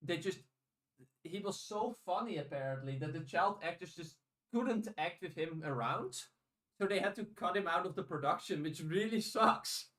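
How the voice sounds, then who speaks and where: A man's voice: high-pitched, animated, normal speed, fairly bright tone. A native Dutch man speaking English as a podcast host, casual and enthusiastic.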